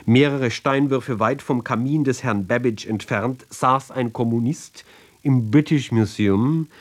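A man giving a sober, measured literary reading for radio, phrase by phrase with deliberate pauses. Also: medium pitch, a little mellow. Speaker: reader of literary ballads.

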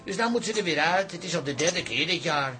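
A man's voice: fairly high pitch, lively intonation, a little fast, bright, forceful tone, faint music behind. A man playing a chatty, grumbling working-class parent in a radio comedy. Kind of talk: radio comedy drama acting.

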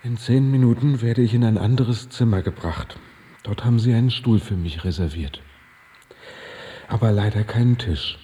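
A male actor plays a laconic death-row killer with a romantic streak in a radio drama. His voice is slightly mellow and carries a long reverb. He speaks in an understated, matter-of-fact and wry manner. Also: on the low side, normal speed, a little hiss or hum, lightly acted. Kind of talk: German radio drama acting.

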